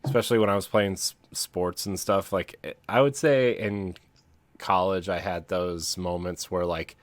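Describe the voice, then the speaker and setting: A man co-hosting a podcast, telling personal stories casually in halting, broken-up phrases. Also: low-pitched, steady tone, no room sound. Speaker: podcast co-host.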